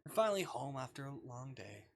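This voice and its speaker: A playful, expressive streamer reading a visual novel aloud and reacting live, acting out the dialogue. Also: medium pitch, a little fast, vocal fry. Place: live stream with game music behind.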